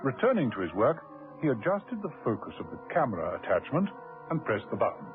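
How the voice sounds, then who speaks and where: A storyteller narrates a suspense serial on radio with a lively, swooping delivery. His medium-pitched voice sits in a narrow, old broadcast sound over music. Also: fairly quick. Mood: suspenseful. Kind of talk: scripted radio thriller narration. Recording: band-limited old radio recording with music.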